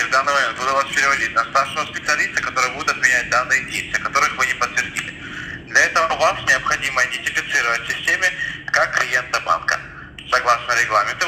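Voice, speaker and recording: A man talking like a scripted call-centre agent on a phone call, formal, officious and insistent, reciting stock phrases. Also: medium pitch. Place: telephone line. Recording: echoey, noisy recorded telephone call.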